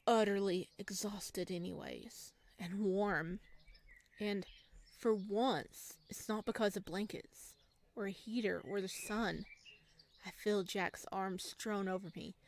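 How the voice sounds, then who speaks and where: Casual, expressive woman reading a game aloud. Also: medium pitch. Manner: casual, expressive, jokey asides. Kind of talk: visual novel read-aloud with casual commentary.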